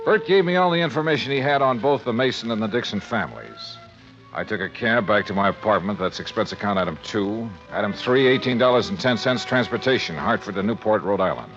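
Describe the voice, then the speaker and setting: A man's voice: lowish voice, expressive, a little fast. An actor playing a dry, worldly male insurance investigator in 1950s radio drama, narrating and questioning in a clipped, wry way. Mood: dry, probing. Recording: dull vintage radio recording, music behind.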